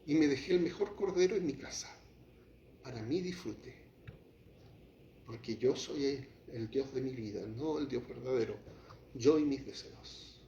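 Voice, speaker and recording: A man preaching a sermon as pastor, shifting from quiet, low passages to raised, heated lines. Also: a little slow, long pauses, a little hiss or hum. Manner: impassioned preaching, drops to quiet, then rises to acted outbursts. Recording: echoey hall, muffled lo-fi sound.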